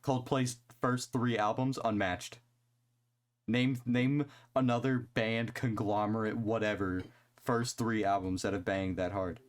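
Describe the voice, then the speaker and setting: A man's voice: lowish voice, fairly even intonation, a little slow. A young man co-hosting a casual podcast, talking loosely, swearing freely and laughing easily. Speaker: podcast co-host. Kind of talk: casual chat.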